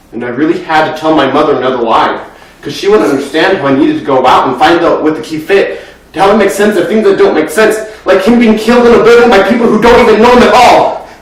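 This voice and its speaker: A man's voice: medium pitch, close to an everyday voice. A young man performing a dramatic monologue as a grieving, anxious, talkative boy, with an earnest, intense delivery, recorded in a very echoey room far from the mic. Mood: determined. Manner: earnest, intense, breathless run-on telling that swells from hushed to loud. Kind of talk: dramatic monologue at a speech competition.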